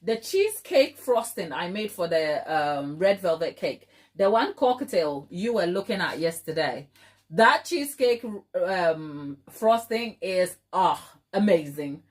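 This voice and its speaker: A woman's voice: lowish voice, sing-song, slow pace. Chatty Ghanaian woman hosting a livestream. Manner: chatty, affectionate, playful, emphatic repetition. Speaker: baking livestream host. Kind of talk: casual live chat with viewers.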